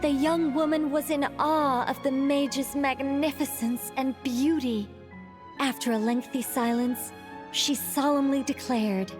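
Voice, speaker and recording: High-voiced theatrical young woman storyteller. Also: steady tone, unhurried, music in the background. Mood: dramatic narrating. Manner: theatrical, formal diction with musing asides. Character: theatrical young woman storyteller, earnest, fussing over her tale. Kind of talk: scripted storybook narration in a video game.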